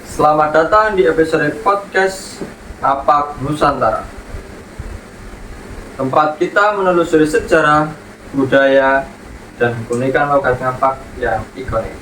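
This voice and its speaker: A male podcast host reads a clear, informative script in a welcoming tone, his voice pitched a little high. He sounds set back from the mic in an echoey room, with guitar music running underneath. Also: a little slow, pauses often, a bit bright, a little hiss or hum. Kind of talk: scripted narration. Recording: echoey, distant with music bed.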